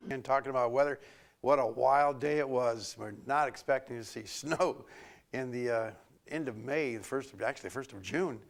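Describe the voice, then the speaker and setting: A man presenting a local TV weather forecast, a little hoarse from a cold, talking loosely with many fillers and restarts. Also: mid-range voice, normal speed.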